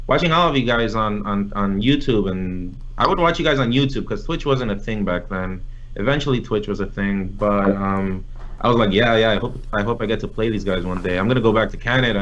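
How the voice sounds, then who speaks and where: A man's voice: fairly low pitch, a bit bright. A young man from Nicaragua, an interview guest, telling his story in a casual, rambling way with many fillers and restarts. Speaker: interview guest, competitive gamer. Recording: slightly dull lo-fi audio.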